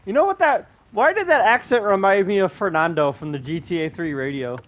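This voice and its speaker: A man's voice: high-pitched, lively intonation, fairly quick, highs cut off. A man streaming a video game, giving casual commentary as he plays.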